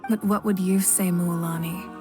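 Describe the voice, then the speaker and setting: Composed goddess-ruler, a woman in the role, measured and patient, game cutscene with faint music. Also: fairly low pitch, steady tone, natural voice. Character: calm, authoritative female fire goddess ruler. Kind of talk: game voice acting.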